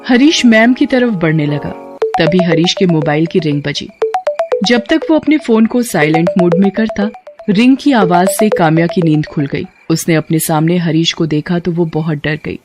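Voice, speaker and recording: A woman's voice: fairly low pitch, normal speed, music in the background. Woman narrating a bedtime story. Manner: steady storytelling, firm and scolding when voicing the teacher. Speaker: narrator who also voices the teacher. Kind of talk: scripted audio story narration.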